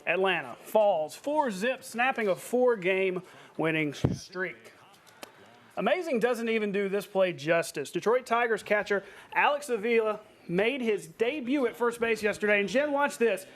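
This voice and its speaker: A man anchoring a television sportscast in a playful, conversational way, reading highlights and bantering with a co-host. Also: lively intonation, unhurried. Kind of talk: upbeat scripted sportscast narration with banter.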